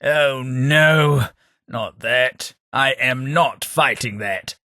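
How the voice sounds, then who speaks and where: A man's voice: mid-range voice, drawn-out words, sharp tone, no room sound, full character voice. A man voicing a snobbish, sardonic male ghoul aristocrat with an English accent, delivering game companion lines in a prim, dry upper-class drawl. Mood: disdainful. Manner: prim, snobbish, wryly sarcastic.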